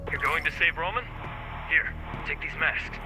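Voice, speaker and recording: A man voicing an eager male supply trader, quick and helpful. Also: fairly high pitch, over music, close to an everyday voice, rough recording.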